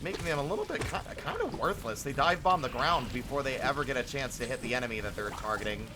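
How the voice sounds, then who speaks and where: A man's voice: medium pitch, big pitch swings, fast, background noise. A man chatting casually on a game stream, conversational and thinking aloud as he plays. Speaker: live streamer who voices game dialogue. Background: game music.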